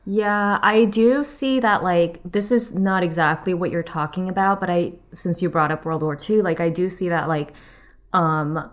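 A young woman with a notably low speaking voice talks casually as a guest. She hedges and restarts often, filling gaps with "like" and "um". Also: fairly even intonation, normal speed. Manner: hesitant, many "like" and "um" fillers. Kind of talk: casual chat.

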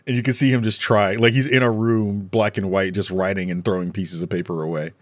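A man's voice: fairly low pitch, fairly even intonation, brisk, no room sound. A man chatting casually as a guest on a podcast. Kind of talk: casual chat.

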